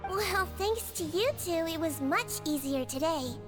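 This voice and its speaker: A woman voicing a gentle, sly little nonhuman nurse in a video game, softly reassuring and measured. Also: very high voice, expressive, music in the background. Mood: polite, gentle. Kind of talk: scripted game voice acting.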